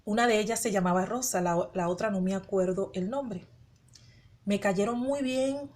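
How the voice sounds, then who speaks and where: A woman's voice: medium pitch, normal speed, darkish tone. Woman pastor giving testimony. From born in the Dominican Republic, raised in Venezuela. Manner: storytelling, acts out her own inner dialogue; rhetorical questions to the listener. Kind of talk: personal testimony.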